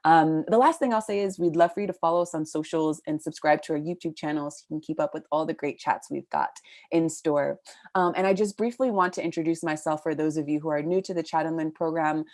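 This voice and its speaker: A woman from California hosting a live webinar, with brisk hosting full of fillers. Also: deep voice, a little mellow. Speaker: webinar host.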